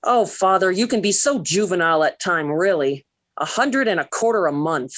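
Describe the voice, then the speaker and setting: Woman playing a dramatic American teenage daughter, lively. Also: fairly low pitch, normal speed, dry recording, natural voice. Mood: indignant. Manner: gushing or indignant, emphatic. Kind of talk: 1940s American radio sitcom acting.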